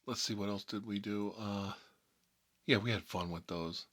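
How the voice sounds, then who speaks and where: Husky low-voiced man playing a folksy mob boss. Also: steady tone, moderate pace, fairly bright tone. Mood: reminiscing. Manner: rambling, folksy, frequent "you know". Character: nostalgic, folksy male mob boss telling stories. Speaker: host of a character story series. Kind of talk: casual ASMR storytelling.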